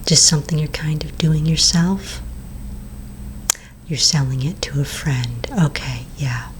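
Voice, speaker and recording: A woman voicing a courteous vampire real estate agent in a soft-spoken, mostly whispered ASMR roleplay, polite, unhurried and attentive. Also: low voice, a little hiss or hum. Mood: soothing, helpful.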